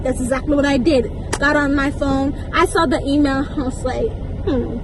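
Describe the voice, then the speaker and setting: A young woman telling a story casually, acting out conversations in an animated, indignant, emphatic way with slangy asides. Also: high-pitched, noisy background. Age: young adult. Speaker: vlogger.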